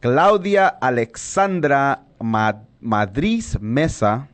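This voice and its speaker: A man's voice: medium pitch, very animated, takes it slowly, dry recording. A man whose first language is Spanish, hosting a casual podcast chat and rambling with lots of self-corrections. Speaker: podcast host.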